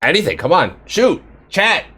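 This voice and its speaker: A man's voice: a little high, huge pitch swings, moderate pace, sharp tone, a little hiss or hum. A man hosting a casual live-stream interview, laughing often and talking to his chat. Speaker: interview host.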